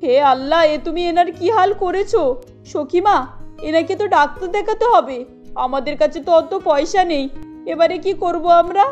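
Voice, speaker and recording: A woman's voice: very high voice, measured pace, darkish tone, dull audio, faint music behind. A woman voicing adult women in a cartoon, speaking with emphasis. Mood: worried. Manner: emphatic, scolding. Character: adult women: scolding employer and worried mother. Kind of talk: Bengali cartoon dubbing.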